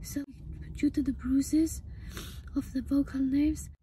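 A woman in her thirties with a hoarse, breathy voice that often breaks into a whisper, upset and halting as she gives a casual personal update to camera. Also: high-pitched, level tone, warm tone. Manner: halting, hesitant. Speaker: vlogger. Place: inside a parked car. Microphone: phone at arm's length. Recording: faint background noise in car.

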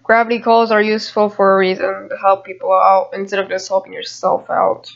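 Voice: medium pitch, completely flat delivery, a little fast, creaky, muffled recording. A gamer giving casual, rambling gameplay commentary with muttered asides to viewers, recorded on a home computer microphone. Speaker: gamer narrating own gameplay video.

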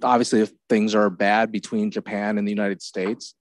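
A man on a conference panel, speaking in a reflective, measured way into a handheld mic on stage. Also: lowish voice, little pitch movement, no echo, clean studio sound. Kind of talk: panel discussion.

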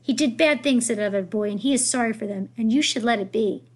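A woman reading aloud plays a fierce old French grandmother in a storybook. She is imperious and blunt, pushing out her words emphatically in a high, husky voice and waving away any objection. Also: expressive, brisk. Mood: fierce yelling. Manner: emphatic, dismissive, repeats words.

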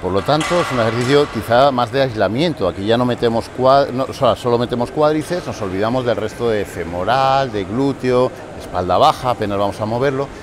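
A man's voice: mid-range voice, brisk, few pauses, a crowd in the background. A man in his forties, a fitness coach, explaining leg exercises step by step in a large indoor gym on a lapel mic, often checking in with his listeners. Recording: music playing clearly behind.

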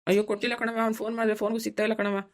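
A woman's voice: a little high, flat delivery, rapid-fire, close to an everyday voice. A woman playing an easygoing young village son in a cartoon family story, pleading and full of excuses. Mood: evasive, pleading.

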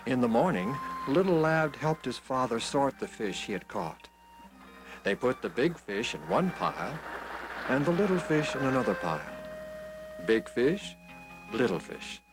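A man narrating a children's Bible story in an expressive, deliberate manner. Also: medium pitch, over music, poor audio.

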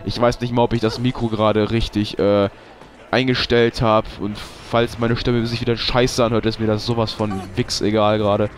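A man's voice: fairly low pitch, little pitch movement, normal speed, faint music behind. A young man, giving casual gameplay commentary, swearing and ranting when he loses. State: has a cold. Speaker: let's play host.

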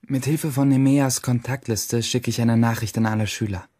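A man's voice: mid-range voice, steady tone, moderate pace, mellow, no echo, lightly acted. An actor playing a grandiose, self-mocking teenage nerd loner, narrating his own story dramatically in a radio play. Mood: scheming, boastful. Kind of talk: first-person radio play monologue.

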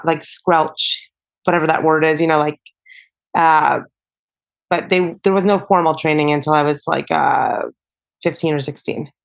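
A young adult woman, a singer-songwriter guest on a podcast, chatting casually and filling her talk with "like" and "you know". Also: deep voice. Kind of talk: casual interview chat.

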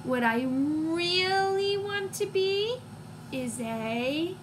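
A woman voicing a discontented young male storybook bunny, listing things with emphatic, drawn-out words. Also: very high voice, animated, background noise, pitched above the performer's own voice, full character voice. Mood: fed up.

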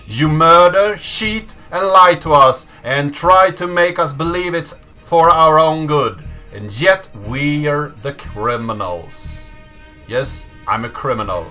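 A man in his thirties speaks in a deliberate, measured manner. His voice is bright and crisp at a slow pace, with faint music playing behind him. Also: on the high side. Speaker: host of a programming channel. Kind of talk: slow dramatic reading and presenter talk. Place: small indoor room at a desk.

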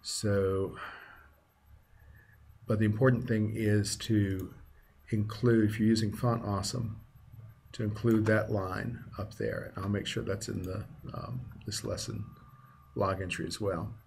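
A man's voice: lowish voice, steady tone, a little slow, darkish tone. A man presenting a casual screencast tutorial, explaining things step by step as he goes. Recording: clear background noise, keyboard typing and clicks.

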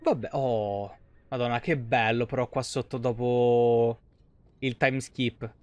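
A man's voice: mid-range voice, steady tone, slow pace, dry recording, dull audio. A man in his thirties doing casual live game commentary and chatting with viewers, informal and swearing freely. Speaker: live streamer.

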